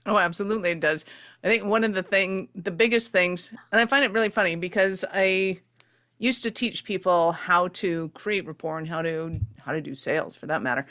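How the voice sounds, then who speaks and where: A woman's voice: on the low side, moderate pace, a bit bright. A woman hosting a business podcast, enthusiastic and bubbly as she chats with her guest. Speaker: podcast host. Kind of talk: upbeat interview chat.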